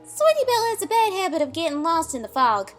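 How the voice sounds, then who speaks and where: High, bossy little cartoon filly. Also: female voice, big pitch swings, quick pace, soft background music. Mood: bossy, explaining. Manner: emphatic, folksy, commanding. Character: bossy, lively young filly pony explaining game rules.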